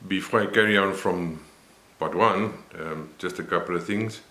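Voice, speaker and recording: Deep-voiced older Afrikaans-man. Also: bright, forceful tone, echoey room. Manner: unhurried, reflective, many pauses and fillers. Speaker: vlogger. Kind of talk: personal health vlog.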